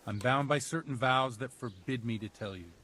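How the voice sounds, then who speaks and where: An actor playing a solemn, fatherly village priest in a video game, speaking in a measured, pious and patronizing manner. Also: medium pitch, a bit bright, close to an everyday voice. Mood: solemn, firm. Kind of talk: video game cutscene acting.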